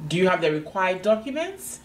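A woman acting a curt, impatient government clerk in a comedy sketch. Her Ghanaian-accented voice is slightly low, stern and clipped. It is picked up from a distance with faint noise. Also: big pitch swings, fairly quick, roomy sound. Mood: bored, brusque. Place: indoor office desk. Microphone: far camera. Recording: distant camera mic, faint room noise.

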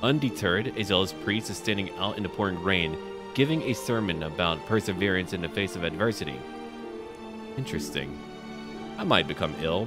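A man giving casual commentary over a strategy game, talking to himself in short remarks and reading bits aloud. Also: deep voice. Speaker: gaming video host. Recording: music behind the voice. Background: background music.

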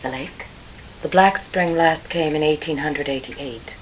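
Eerie, pious, low-voiced older shopkeeper. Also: female voice, a little slow, slight background noise, low-quality recording. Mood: ominous. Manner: ominous, drops into hushed whispers. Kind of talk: audio drama acting.

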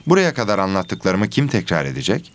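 A man playing a patient religion teacher giving a scripted, deliberate lesson in an educational cartoon. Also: low voice, wildly swooping pitch, a loud crowd. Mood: instructive. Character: patient male religion teacher of animal pupils.

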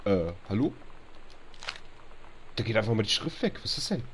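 Stuffy-nosed young gaming commentator. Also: male voice, on the low side, big pitch swings, moderate pace, a bit bright, a little hiss or hum. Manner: chatty, reads on-screen text aloud, grumbles at bugs. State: stuffy nose, sneezing. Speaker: let's play host. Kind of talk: casual gameplay commentary.

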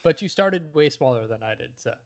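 A man's voice: mid-range voice, animated, fairly quick, vocal fry, a little mellow, muffled recording. A man hosting a casual podcast interview, talking in an informal, conversational way. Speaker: podcast host, small label owner.

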